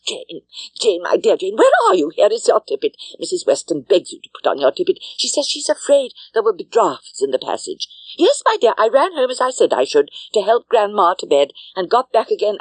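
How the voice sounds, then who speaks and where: A woman's voice: medium pitch, big pitch swings, a little fast, a little mellow, no room sound, poor audio. A woman voicing a fussy, good-natured middle-aged spinster in an audiobook, rambling breathlessly with exclamations and repetition. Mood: fluttery, chattering. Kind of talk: audiobook character acting.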